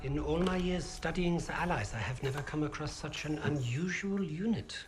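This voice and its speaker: An actor playing an arrogant officer who interrogates prisoners in a smooth, condescending, measured way. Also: male voice, fairly high pitch, lightly acted. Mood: cold. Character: cold arrogant male Nazi officer interrogator. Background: music.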